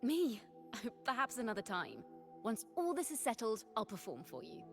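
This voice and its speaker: An actress playing a gentle young woman musician in a video game, speaking in a thoughtful, lyrical way as a guide. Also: fairly high pitch, sing-song, normal speed, faint music behind. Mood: gently playful.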